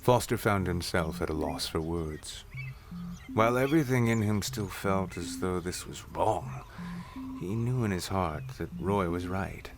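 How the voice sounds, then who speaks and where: A man's voice: low-pitched, a little slow, over music. A man reading scripted science fiction story narration as the narrator. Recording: clear ambient noise behind.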